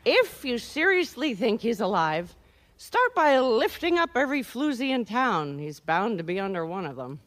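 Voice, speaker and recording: Radio mystery client: cynical, blasé wife, brazen and worldly, with widely swooping delivery. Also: female voice, a little high, very animated, moderate pace, a bit bright. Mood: blasé, sardonic. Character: brazen, cynical, wisecracking wife hiring a private detective.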